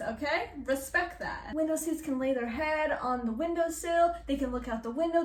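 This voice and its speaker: High-pitched woman chatting casually in echoey room. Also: fairly bright tone. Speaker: reaction video host. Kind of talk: casual reaction chat.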